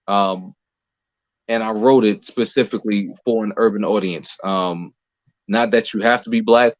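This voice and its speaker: Low-voiced American man, roomy lo-fi sound. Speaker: playwright and producer being interviewed. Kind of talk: casual interview conversation. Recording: roomy echo, dull lo-fi sound.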